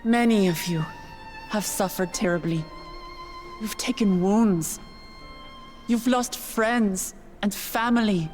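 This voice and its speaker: A woman plays a young royal leader in a video game, dignified and resolute. Her mid-pitched voice is solemn and measured, with faint music underneath. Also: a little mellow, close to an everyday voice. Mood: solemn grief.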